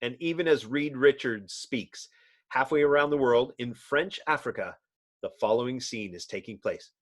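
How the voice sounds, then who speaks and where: A man's voice: mid-range voice, normal speed. A man narrating a comic book dramatically, with theatrical, suspenseful emphasis.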